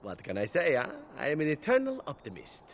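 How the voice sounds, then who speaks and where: An actor playing a roguish captured assassin in a video game, wry, flippant and courteous. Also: male voice, a little high, huge pitch swings, a little fast, slight background noise. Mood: amused, flippant. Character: roguish, glib captured male elf assassin. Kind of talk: video game character dialogue.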